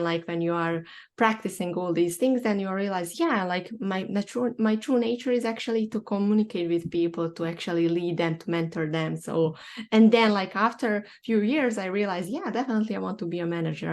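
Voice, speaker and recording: Brief: young woman host, Bosnian accent, slightly low voice, halting and filler-heavy. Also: a little mellow. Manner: halting, many fillers and restarts. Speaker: podcast host. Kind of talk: casual online chat.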